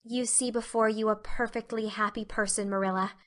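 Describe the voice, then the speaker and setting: Bright-voiced, effusive young girl, voiced by a woman. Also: mid-range voice, little pitch movement, fairly quick, close to an everyday voice. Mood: blissful, earnest. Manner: effusive, grandiloquent, earnest. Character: dreamy, excitable, talkative young orphan girl. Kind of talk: dramatic audiobook reading.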